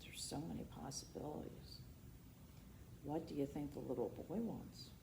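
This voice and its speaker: A woman reading a children's picture book aloud as storytime host, expressive and dramatic, pausing for asides and questions to her young listeners. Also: low-pitched, gravelly. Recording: roomy echo, noticeable background noise.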